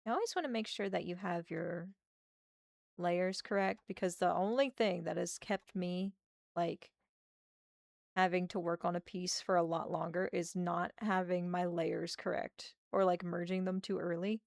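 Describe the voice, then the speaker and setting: Slightly hoarse woman, chatty and gravelly. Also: lowish voice, fairly even intonation, moderate pace, a little mellow, no echo, clean studio sound. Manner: chatty, thinking aloud, muttering to herself as she works. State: slightly hoarse from a sore throat. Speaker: art streamer. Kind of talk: casual livestream chat while drawing.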